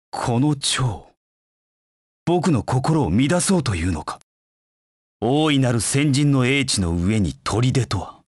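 Video game dark knight, aloof, curt, dry close sound, lively pitch swings. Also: male voice, no echo. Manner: curt, haughty, disdainful. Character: proud, cold male dark knight, aloof and confident. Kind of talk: video game voice acting in short battle lines.

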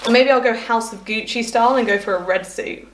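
A young woman with a fairly high voice talks brightly and chattily as she tries on outfits. She is recorded on a camera across a bare hallway, so the sound bounces around the room, with a faint hiss underneath. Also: a little fast, reverberant, low-quality recording. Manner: chatty and enthusiastic, trailing off mid-thought. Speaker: fashion vlogger. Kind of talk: casual try-on haul chat. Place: hallway at home, indoors.

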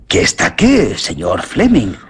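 An actor playing a sceptical, shrewd, insinuating doctor in a radio suspense drama. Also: male voice, fairly low pitch, wildly swooping pitch, unhurried, husky, heavily acted. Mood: puzzled, brisk.

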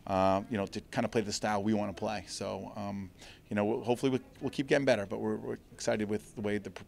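Fast-talking gravelly middle-aged coach. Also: male voice, fairly low pitch, fairly even intonation, darkish tone. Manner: earnest, rambling, many restarts and fillers. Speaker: head lacrosse coach. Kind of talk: postgame interview. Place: indoors before a sponsor backdrop. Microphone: handheld mic held by interviewer.

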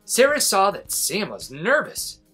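A man in his forties reading a children's story aloud as an expressive narrator. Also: sits high, unhurried, slightly rough, soft background music. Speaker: storybook reader, host.

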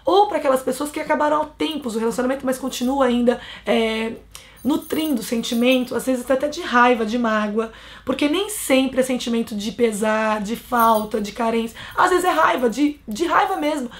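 A woman's voice: on the high side, normal speed. A woman in her thirties from Brazil, giving blunt, scolding relationship advice straight to camera from a small bedroom. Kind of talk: casual advice monologue to camera.

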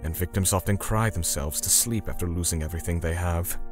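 A man playing a cold, righteous torturer for hire who narrates a manga dub in a measured, grim way. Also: low voice, music in the background, lightly acted. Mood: grim, matter-of-fact.

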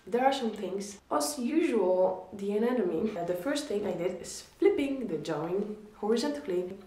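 A woman's voice: medium pitch, animated, normal speed, dark tone, reverberant, rough recording. A woman in her twenties with a Polish accent, talking casually to camera as she gives friendly, encouraging art critiques, leaning hard on the word "really.". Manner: chatty, encouraging, repeats "really". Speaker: art YouTuber reviewing viewer drawings. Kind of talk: casual instructional talk to camera. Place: small indoor room against a plain wall.

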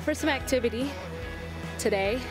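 Female vlogger, twenties; candid, self-deprecating casual update, echoey recording over clear background music. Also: a little high, moderate pace. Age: 20s. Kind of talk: casual personal health update vlog.

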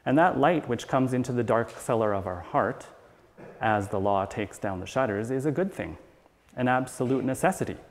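A middle-aged man reads a sermon aloud from the pulpit. His delivery is measured and earnest, clearly following a script. The sound carries the long reverb of a church hall. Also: medium pitch, lively intonation. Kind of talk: read sermon. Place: church sanctuary at a wooden pulpit, indoors.

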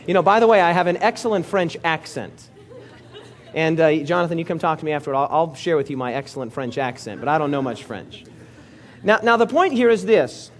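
A man preaching a sermon as pastor, talking with the congregation, asking questions and joking with them. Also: fairly high pitch. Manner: lively, interactive preaching with audience questions and jokes. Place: church hall before a congregation.

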